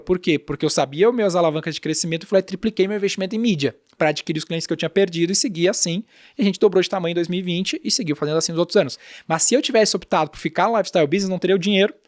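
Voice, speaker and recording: Slangy Brazilian man with a higher voice. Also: no room sound, clean studio sound. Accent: Brazilian Portuguese. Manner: relaxed, slangy, explains with examples. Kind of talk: casual podcast talk.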